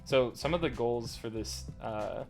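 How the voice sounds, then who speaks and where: A man casually talking while he plays a game, halting and rambling with a slight stutter and lots of fillers. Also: medium pitch. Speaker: gaming channel host. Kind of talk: casual commentary while playing. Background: faint lo-fi music and keyboard clicks.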